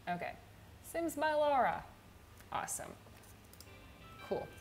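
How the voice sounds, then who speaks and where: A young woman from Israel with an Israeli accent, chatting casually on a livestream in a chill, low-energy way with lots of fillers. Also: big pitch swings, slow pace, vocal fry, faint music behind. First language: not English. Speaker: streamer.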